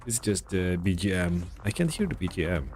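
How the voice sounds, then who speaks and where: Low-voiced man, lively rambling streamer. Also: a little fast. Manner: rambling, self-deprecating, searching for words. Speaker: game streamer. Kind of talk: casual live stream chat. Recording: game music behind the voice.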